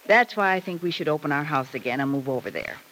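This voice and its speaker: On a faintly noisy old radio recording, a middle-aged American mother, anxious and self-sacrificing. Her lowish voice rises and falls expressively, with a tired, hesitant fretfulness in the manner of 1950s soap acting. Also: very animated, fast, a bit bright, exaggerated voice. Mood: anxious, protesting.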